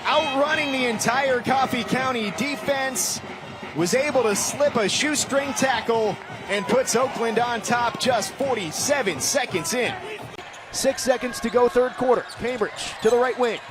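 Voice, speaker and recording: A man calling live sports play-by-play in punchy, crisp announcer phrasing. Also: extremely high pitch, a little slow, noisy background.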